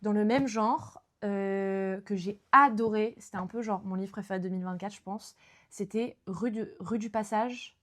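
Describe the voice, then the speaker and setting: A woman's voice: mid-range voice, moderate pace, fairly bright tone. Chatty young woman streamer. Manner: chatty, lots of fillers and restarts. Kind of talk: casual chat about books.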